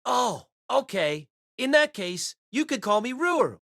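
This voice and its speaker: A man's voice: very high voice, sing-song, unhurried, pushed and bright, no echo, studio quality, pitched above the performer's own voice, full character voice. A man voicing a polite, wry young boy who is easily flustered, in an audio drama.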